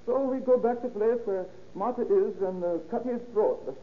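An actor playing a weak-willed immigrant confessing in halting broken English, in a 1930s radio crime drama. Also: male voice, high voice, background noise, close to an everyday voice. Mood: nervous confessing. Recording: tinny band-limited 1930s radio recording.

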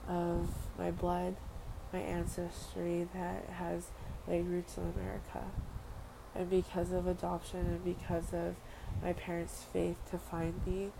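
Young woman from Samoa, earnest vlogger, low and flat delivery. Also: vocal fry. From Western Samoa. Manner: earnest, repeats phrases, casual fillers. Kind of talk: heartfelt personal monologue. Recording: noisy background ambience.

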